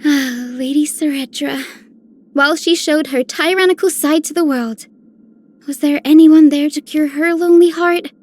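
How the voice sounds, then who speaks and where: An actress playing a cheerful, affectionate young game heroine in a bubbly, eager manner. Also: female voice, sits high, moderate pace, darkish tone, close to an everyday voice. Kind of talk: scripted game character lines.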